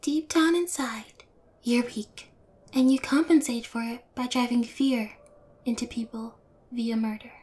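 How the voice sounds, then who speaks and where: A woman's voice: a little high, steady tone, a little slow, darkish tone, close to an everyday voice. A woman playing a sarcastic, fearless young prison inmate in an audio roleplay, taunting and nonchalant toward her cellmate. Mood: challenging.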